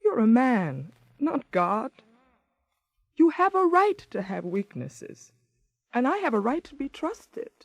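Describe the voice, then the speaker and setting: A woman's voice: a little high, sing-song, slow, dry recording, exaggerated voice. An actress playing a hurt, assertive wife confronting her husband in a radio drama, pointed and moving between sarcasm and earnestness. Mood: hurt, insistent. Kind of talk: 1980s radio drama acting.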